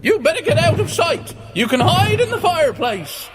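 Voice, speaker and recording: A man voicing the giant's wife, an older woman with a high, shrill, cackling voice. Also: very animated, normal speed, music in the background. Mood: urgent.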